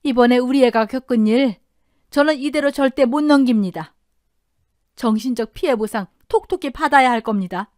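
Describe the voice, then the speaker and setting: A woman voicing an indignant, protective older mother who scolds forcefully in an audio drama. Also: on the high side, a little slow, dry recording, clean studio sound, natural voice.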